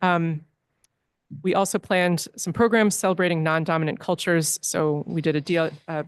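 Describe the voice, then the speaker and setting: A woman speaks in a lowish voice at a board meeting, delivering her director's report. She is earnest and keeps pausing on "um" and restarting phrases. The sound is dry and close, with no room echo. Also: fairly even intonation, smooth. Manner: frequent ums and restarts, earnest. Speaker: library director.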